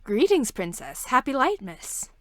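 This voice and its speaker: A woman's voice: high voice, very animated, unhurried, a bit bright, no room sound, exaggerated voice. A woman voicing a genial but awkward male town leader who is eager to please in a storybook reading. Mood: effusive.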